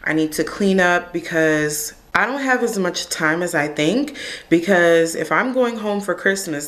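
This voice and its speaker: A woman's voice: on the low side, a bit bright, no room sound. A young woman talks casually to her camera, rambling through stories with lots of "like." She records herself at arm's length, first in a parked car and then at home in her kitchen. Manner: chatty, rambling storytelling with frequent "like". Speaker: vlogger. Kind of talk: casual vlog chat to camera. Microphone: camera held at arm's length.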